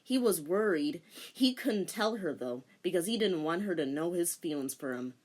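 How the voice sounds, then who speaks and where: A woman's voice: on the low side, lively intonation, moderate pace, a little mellow. A woman narrating a comic dramatic reading with teasing, theatrical commentary.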